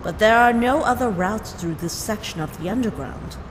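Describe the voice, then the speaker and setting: A woman's voice: mid-range voice, animated, normal speed, long reverb tail, a little hiss or hum, close to an everyday voice, low-quality recording. A woman voicing a dignified, cautious, motherly goat monster with formal phrasing in a comic dub. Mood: cautious, composed. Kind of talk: dramatic comic dub voice acting.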